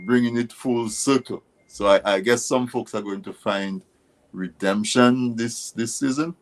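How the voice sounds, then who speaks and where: A man hosting a conversational radio interview, speaking in halting, deliberate phrases. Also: medium pitch, low-quality recording. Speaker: interview show host.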